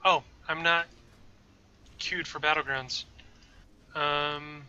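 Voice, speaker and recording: Bright-voiced male gamer chatting on stream. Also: a little high, steady tone, takes it slowly, clean tone, dull audio. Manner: chatty, rambling, talks to chat while playing. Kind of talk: casual gameplay livestream chat. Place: home room. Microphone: desk microphone.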